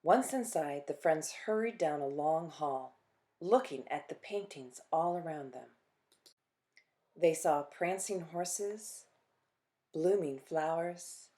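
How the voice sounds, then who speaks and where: A woman reads to children as a storytime reader, in a lowish voice and at a slow pace. Her delivery is expressive and deliberate, setting phrases apart with dramatic pauses. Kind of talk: expressive picture-book read-aloud.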